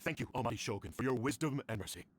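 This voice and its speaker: An actor voicing a humble, fearful male retainer in a video game, deferential and formal, with a husky, breathy voice. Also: medium pitch, lively intonation, racing, a little hiss or hum, close to an everyday voice. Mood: cowed, deferential. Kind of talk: scripted video game voice acting.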